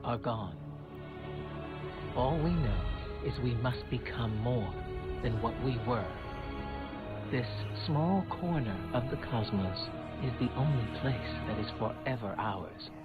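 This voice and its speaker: A man narrating a game trailer in a grave, measured way. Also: mid-range voice, expressive. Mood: solemn. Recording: tinny game trailer audio with music.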